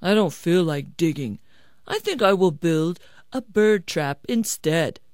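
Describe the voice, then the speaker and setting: A woman's voice: fairly low pitch, expressive, unhurried, a little mellow, dry recording, studio quality. Woman playing a sly, stubborn older man. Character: stubborn, sly older father-in-law, gloating and lazy. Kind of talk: animated storytelling.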